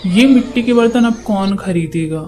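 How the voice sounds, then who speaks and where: A woman's voice: on the high side, moderate pace, warm tone, a little hiss or hum, lightly acted. A woman voicing a scornful, dismissive villager in a cartoon folk tale. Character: scornful villager dismissing a potter's old-fashioned wares.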